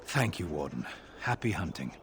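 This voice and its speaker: A fed up sarcastic city watch sergeant in a fantasy game, dry delivery. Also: male voice, rumbling low, sing-song, normal speed, a bit bright, a little hiss or hum, exaggerated voice. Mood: exasperated, dry. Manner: dry, wry, exasperated. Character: weary sardonic male city guard sergeant. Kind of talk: fantasy video game dialogue acting.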